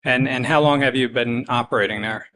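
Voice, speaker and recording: Board engineer guy, bright sharp tone, straight to the point. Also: medium pitch, fairly quick, rough recording. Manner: direct, matter-of-fact. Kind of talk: questioning at a public meeting.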